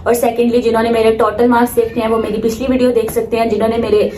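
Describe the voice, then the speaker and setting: A young Pakistani woman in her late teens speaks in a high voice, in the casual style of a student vlogger. She is emphatic and opinionated and drops English phrases into her talk. A faint noise sits behind her voice. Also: little pitch movement, very fast, darkish tone, reverberant. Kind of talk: casual vlog talk to viewers.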